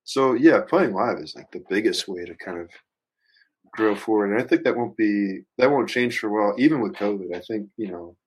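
A man chatting casually as a musician guest on a podcast, thinking out loud in a rambling, hesitant, reflective way and swearing casually. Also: fairly low pitch, animated, brisk, breathy edge, low-quality recording.

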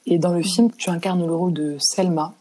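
A woman with a slightly low-pitched voice hosts a podcast interview. She speaks conversationally, putting questions to a guest in an informal spoken flow. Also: fairly even intonation, fairly quick, warm tone, poor audio.

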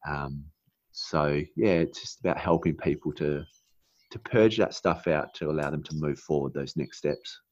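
A man raised in Australia after a New Zealand childhood, speaking as an interview guest in an earnest, halting way with many fillers. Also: very deep voice, a little mellow, no echo, highs cut off. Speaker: guest, naturopath. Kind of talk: casual interview chat.

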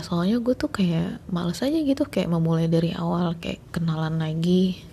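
A woman's voice: lowish voice, gravelly, dark tone, a little hiss or hum. A woman, telling a story in a rambling, chatty way full of fillers. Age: late 20s. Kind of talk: casual storytelling monologue.

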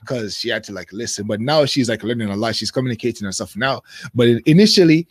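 A man in his thirties, a guest in a casual interview, speaking thoughtfully with many pauses and fillers. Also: medium pitch, lively intonation, no room sound.

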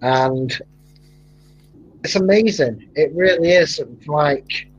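Animated Mancunian man, husky, lo-fi. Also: slow pace. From England (Manchester). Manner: animated storyteller, laughs often, rising pitch when excited. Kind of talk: casual chat.

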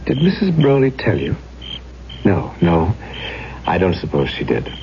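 A muffled, hissy old radio drama recording carries a mysterious, courtly gentleman with a low voice. His phrasing is theatrical and poetic, swooping from hushed depths upward, with a wistful air. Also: huge pitch swings, a little slow, full character voice. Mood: wistful, sombre. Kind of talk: 1970s radio drama acting.

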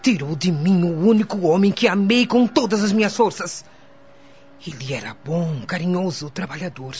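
An actress playing a bitter, imperious, vengeful wealthy widow in a radio soap opera, cold and commanding. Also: female voice, fairly low pitch, lively intonation, normal speed, darkish tone, slight background noise, close to an everyday voice. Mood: bitter, anguished. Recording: dull lo-fi audio with music.

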